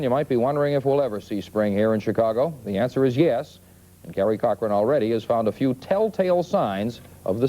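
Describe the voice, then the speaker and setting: A man's voice: lowish voice, mellow. An American man reading the news as a broadcast anchor.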